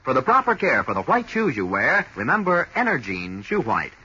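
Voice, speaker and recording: A man reads a 1940s radio commercial in an upbeat, selling tone, his voice bright and his intonation lively. It comes through muffled with a faint hiss. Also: slightly rough. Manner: brisk, upbeat sales pitch. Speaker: commercial announcer.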